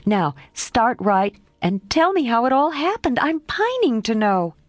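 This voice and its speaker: A woman reading aloud and voicing an impulsive, excitable young woman, with exclaiming, high and animated lines. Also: measured pace, no room sound. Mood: teasing.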